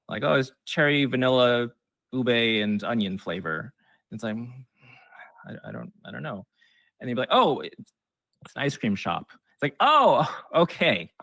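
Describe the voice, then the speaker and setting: A man with a fairly low voice talks casually as an expert guest giving advice. He is conversational and slips into short acted-out reactions of an imagined audience. The recording is dry, with very little room sound. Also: a bit bright, dry recording. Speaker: game trailer expert, interview guest. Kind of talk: casual explanatory interview talk with advice.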